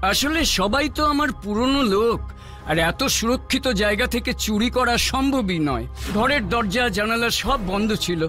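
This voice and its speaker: High-voiced, courteous, anxious king. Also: pushed and bright. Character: anxious, courteous king, father of a grown princess. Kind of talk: children's cartoon voice acting. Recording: music playing behind the voice.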